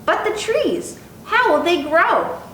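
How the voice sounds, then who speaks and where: A woman reading the part of a patient mother in a children's storybook, gently questioning in a singsong, drawn-out way. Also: big pitch swings, heavily acted. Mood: questioning, concerned. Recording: echoey room, distant mic, faint rumbling noise.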